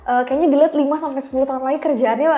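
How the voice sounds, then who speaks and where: A woman's voice: high voice, steady tone, rapid-fire, mellow. A woman hosting a casual interview, informal and reactive, recorded with roomy echo. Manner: reactive, informal, mixes in English words. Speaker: podcast host.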